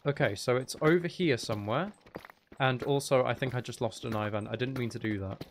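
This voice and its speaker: A man's voice: mid-range voice, brisk, a little hiss or hum. A man giving casual, rambling gameplay commentary, thinking aloud as he plays. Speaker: gaming video creator playing Minecraft.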